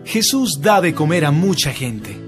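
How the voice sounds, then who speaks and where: A man's voice: a little high, very animated, measured pace. A man announcing scripted section headings in an emphatic, declarative way. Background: music.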